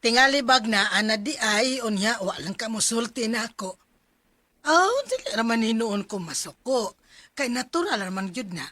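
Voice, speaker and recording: A woman playing a gentle, understanding middle-aged mother in a radio drama, soft-spoken and measured, with a Cebuano accent. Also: fairly high pitch, animated, no room sound. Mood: caring, inquiring.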